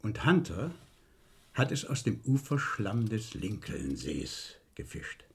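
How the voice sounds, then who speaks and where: Husky, mock-courteous police inspector. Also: male voice, fairly low pitch, big pitch swings, takes it slowly, a little mellow, exaggerated voice. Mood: deliberate revelation. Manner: ironic, insinuating, probing. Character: shrewd, mock-courteous male police inspector, needling suspects. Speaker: actor. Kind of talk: 1970s German radio crime play acting.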